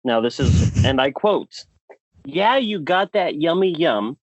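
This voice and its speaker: A man's voice: on the high side, animated, slow pace, dry recording, rough recording. A man co-hosting a podcast, bantering casually with theatrical, exasperated emphasis. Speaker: podcast co-host.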